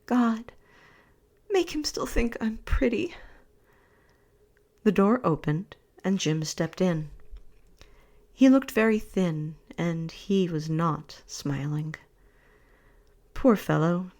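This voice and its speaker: A young woman reading a bedtime story aloud in a soft, half-whispered voice. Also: on the low side.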